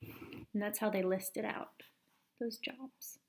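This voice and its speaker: A woman reading a children's book aloud like a teacher, with expressive, deliberate emphasis and explanatory asides. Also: mid-range voice, slight background noise.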